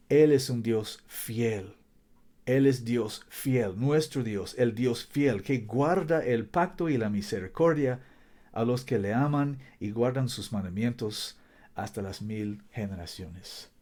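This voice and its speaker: A man preaching a sermon. He reads scripture slowly and deliberately, stresses key words with emphasis, and sometimes stumbles over his Spanish. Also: mid-range voice, mellow. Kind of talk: sermon with Bible reading.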